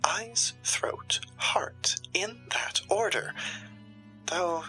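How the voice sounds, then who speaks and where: A woman's voice: lowish voice, extremely slow, sharp tone, in a whisper, soft background music, heavily acted, rough recording. A woman playing a haughty, sardonic, ruthless occult shopkeeper in a theatrical, condescending drama style. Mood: cold, scornful. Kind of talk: dramatic audio roleplay acting.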